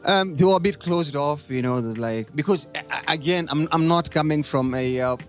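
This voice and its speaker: A man's voice: on the high side, moderate pace, faint music behind. A young man, a stand-up comedian guest, telling stories in a laid-back, slangy way full of "yo" and "man". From Kenya. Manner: laid-back slangy storytelling with lots of "yo" and "man", many restarts. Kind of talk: casual storytelling chat.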